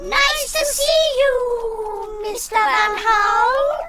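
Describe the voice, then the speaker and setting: An actor voicing a shrill, officious winged bureaucrat creature in a comic radio drama, with a squeaky pitched-up effect. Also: steady tone, very slow, heavy background noise, heavily acted. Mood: fawning. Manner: barking clipped questions, fawning to superiors.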